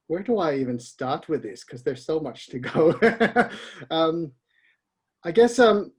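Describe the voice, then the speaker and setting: A middle-aged man from Malaysia with a husky, slightly breathy voice chats in a loose, rambling way. He laughs easily and wanders between thoughts, with a pitch that sits in the middle for a man. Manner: laughs often, rambling and open. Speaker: founder being interviewed. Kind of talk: casual interview chat.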